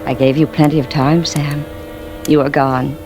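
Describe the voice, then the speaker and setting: A woman's voice: low voice, level tone, normal speed. An actress playing a bitter, scheming wife who confides her crime in a vintage radio drama. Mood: cold, gloating. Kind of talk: 1950s radio drama acting, intimate confessional narration. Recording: vintage radio recording with music underneath.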